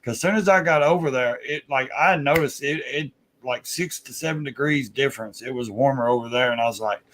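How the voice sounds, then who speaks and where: A man's voice: medium pitch, fairly quick, fairly bright tone. Laid-back man chatting. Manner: laid-back rambling with laughs. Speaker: host. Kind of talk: casual chat.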